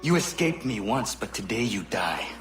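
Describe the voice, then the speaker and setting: An actor playing a determined young warrior in a video game, speaking in a stiff, formal and deliberate way. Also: male voice, on the low side, big pitch swings, a bit bright, faint music behind. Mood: stern, accusing. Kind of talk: cinematic video game acting.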